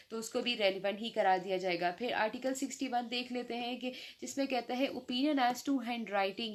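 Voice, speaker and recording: Higher-pitched Pakistani woman giving a lecture. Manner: explanatory, slows down to read out English legal terms. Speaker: law lecturer. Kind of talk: informal lecture explaining legal articles, mixing Urdu and English.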